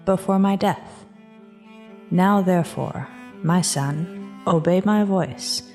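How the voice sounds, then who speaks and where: An actress playing an earnest, commanding mother in a dramatized scripture reading, deliberate and insistent. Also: on the low side, mellow. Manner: deliberate, insistent archaic delivery. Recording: string music under the voice.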